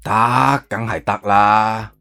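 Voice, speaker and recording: A man's voice: lowish voice, steady tone, bright, forceful tone, no room sound, faint music behind, full character voice. A man playing a polite, anxious museum director, courteous and hesitant, in an audio drama. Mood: obliging. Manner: courteous, hesitant, drawn-out obliging phrases. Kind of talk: Cantonese audio drama acting.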